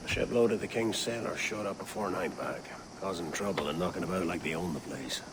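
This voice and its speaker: An actor playing a gruff, mocking veteran pirate with a gravelly voice and theatrical swagger in a video game. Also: male voice, low voice, fairly quick, background noise, close to an everyday voice. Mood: cautioning. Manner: taunting, theatrical. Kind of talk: video game cutscene acting.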